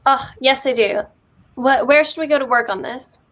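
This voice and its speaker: Guarded teenage girl, fairly high voice woman acting. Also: normal speed, fairly bright tone. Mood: guarded, dry. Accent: American. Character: guarded eighteen-year-old girl, up for a laugh. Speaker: actor in a play reading. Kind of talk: amateur script reading in American accent.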